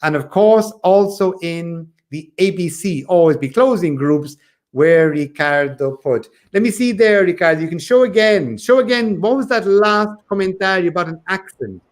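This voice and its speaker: A man's voice: high-pitched, expressive, normal speed. A man from Ireland working as an English teacher, chatting casually on a livestream and coaching learners in an encouraging, emphatic way, often repeating his phrases.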